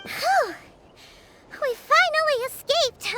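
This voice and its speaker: A woman voicing a tiny, cheerful and excitable fairy girl companion in a video game, with a squeaky childlike voice. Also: slow, very noisy, heavily acted. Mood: relieved.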